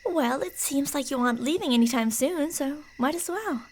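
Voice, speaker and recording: A woman playing a creepy, delusional stalker posing as a park ranger in an ASMR roleplay, teasing and falsely sweet, slipping between soft speech and whispers. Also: high-pitched, normal speed, lightly acted. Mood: falsely sweet, menacing.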